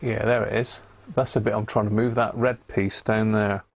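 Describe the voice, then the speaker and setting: A British man giving casual, unhurried live commentary as he works at a repair bench, half muttering to himself. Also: lowish voice, little pitch movement, creaky, slight background noise. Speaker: host of a live radio repair stream. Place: indoor repair workbench.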